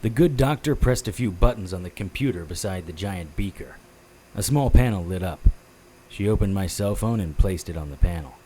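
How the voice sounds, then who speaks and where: An actor playing a tough, wisecracking private detective in an audio drama, with wry deadpan delivery and noir-style narration. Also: male voice, low-pitched, moderate pace, background noise. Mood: tense storytelling.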